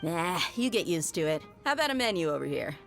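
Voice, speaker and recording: A woman's voice: fairly low pitch, big pitch swings, fast, vocal fry, soft background music. An actress playing a tough, principled woman mercenary bodyguard in a game, blunt and casual in manner. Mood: easygoing. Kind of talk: game voice acting.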